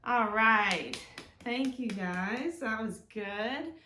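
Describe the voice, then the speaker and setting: A woman leading a children's storytime as a librarian, reading aloud expressively and talking gently and simply to young listeners. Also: a little high, drawn-out words, slight background noise. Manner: gentle, simple, encouraging talk aimed at small children. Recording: roomy, echoing indoor sound.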